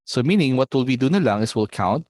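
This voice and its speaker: A man's voice: medium pitch, expressive, fast talker, darkish tone, no room sound, studio quality. A man with a Filipino accent teaching a math olympiad class online, explaining step by step and often checking whether students follow. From Philippines.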